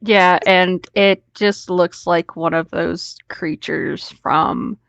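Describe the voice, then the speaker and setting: A woman's voice: on the low side, fairly even intonation, slow pace, vocal fry, dry recording. A woman co-hosting a podcast, talking casually and a little hesitantly over a slightly muffled online call. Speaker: podcast co-host. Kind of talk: casual group chat, hesitant phrasing.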